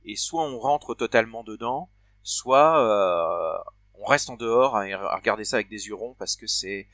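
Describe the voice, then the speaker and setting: A man's voice: on the low side, normal speed, fairly bright tone, no room sound, clean studio sound. A man in his forties co-hosting a casual conversation, talking hesitantly with many fillers and restarts. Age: 40s. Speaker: podcast co-host.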